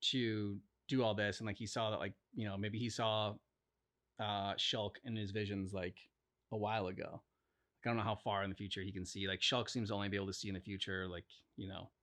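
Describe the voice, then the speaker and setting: A man chatting casually as co-host of a reaction channel, thinking out loud in a rambling way peppered with "like". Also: fairly low pitch, little pitch movement, brisk. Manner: rambling, speculative, heavy use of "like". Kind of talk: casual chat, reacting to game cutscenes.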